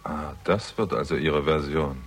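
An actor playing a jaded, hard-drinking theatrical agent with a conscience, sardonic and world-weary, in a 1960s German radio crime drama. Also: male voice, rumbling low, a little slow, slightly rough, heavily acted. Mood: indignant.

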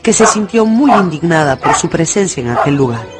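Story narrator, medium pitch, scripted radio storytelling, music clearly behind. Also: sing-song, a little fast.

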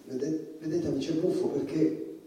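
A man's voice: fairly high pitch, fairly even intonation, normal speed, mellow, close to an everyday voice. A male stage actor playing a troubled, rambling, defiant stand-up comedian who stammers and repeats his phrases in a theatrical monologue. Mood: rambling, agitated. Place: theatre stage, indoors, recorded from afar. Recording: distant, echoey stage recording with faint noise.

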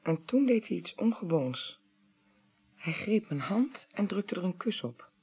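A woman playing a composed, probing investigator who narrates a radio crime drama in a measured, polite, quietly insistent way. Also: on the low side, very animated, husky, heavily acted. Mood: narrating, observant. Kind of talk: 1980s Dutch radio play acting.

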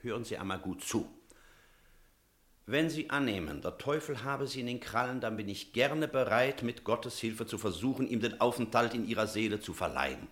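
An actor playing an energetic, rational village priest in a German radio drama, speaking in a decisive, emphatic way. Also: male voice, medium pitch, moderate pace, close to an everyday voice. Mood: stern, reasoning. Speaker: character in a radio play.

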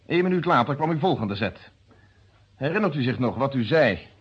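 A stern male police inspector in a radio crime play. He speaks formally and insistently, laying out each point with precision in a slightly husky, mid-pitched voice on a dull old recording. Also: animated, moderate pace. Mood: confident, accusing. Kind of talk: radio drama acting.

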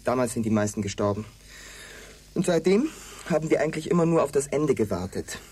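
An actor playing a desperate, bitter leader of colonists in a radio drama, speaking in a blunt, commanding way. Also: male voice, mid-range voice, expressive, brisk, dark tone, breathy edge. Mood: bitter, resigned. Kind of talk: German radio drama acting.

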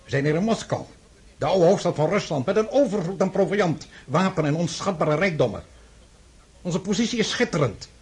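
An actor playing an imperious, overconfident French emperor in a radio drama, brusque and commanding. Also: male voice, sits high, lively intonation, brisk, a little mellow, husky, exaggerated voice. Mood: impatient confidence. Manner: brusque, commanding, self-assured.

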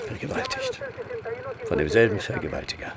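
An actor playing a grim police commissioner in a radio crime drama, with a gravelly voice and heavy, theatrical delivery. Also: male voice, lowish voice, huge pitch swings, brisk, full character voice. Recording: loud outdoor street and vehicle noise.

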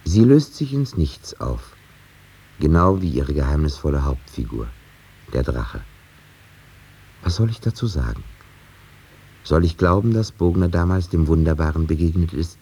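An actor playing a reflective, sceptical storyteller, narrating a 1950s German radio drama in a measured, musing way. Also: male voice, a bass voice, animated, mellow, husky, exaggerated voice. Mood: pensive, sceptical.

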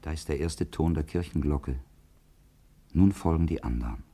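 An actor playing a dreamy, haunted man in a poetic radio play, with a gravelly, hushed delivery. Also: a bass voice, moderate pace, heavily acted. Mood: observing.

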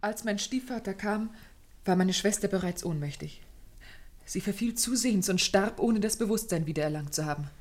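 An actress playing an anxious, grieving young gentlewoman who tells her story formally and intensely in a German radio play. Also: medium pitch, normal speed, natural voice. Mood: haunted, tense. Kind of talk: German radio play acting, dramatic first-person narration.

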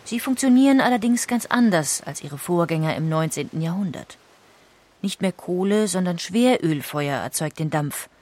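Woman, husky voice, lowish pitch, calm documentary narration. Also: moderate pace. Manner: calm, even-paced reading. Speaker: audiobook narrator.

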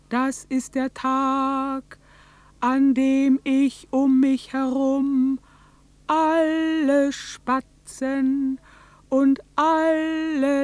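A woman's voice: high voice, a little mellow, no room sound. An actress playing a haunted, solitary farm woman in a radio drama, with an incantatory, repetitive, insistent way of speaking. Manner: slow, incantatory, repeating phrases.

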